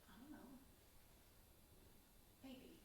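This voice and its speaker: A woman playing a guilt-ridden, pleading estranged best friend in a naturalistic drama, earnest, halting and emotionally raw. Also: darkish tone. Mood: defensive, hurt. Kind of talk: naturalistic two-hander drama dialogue. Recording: distant, very echoey room with faint noise.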